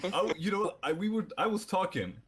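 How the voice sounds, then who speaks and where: A man's voice: high voice, animated, normal speed. A man co-hosting a podcast, chatting casually in a group. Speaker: podcast co-host. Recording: loud overlapping voices behind. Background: other people's chatter.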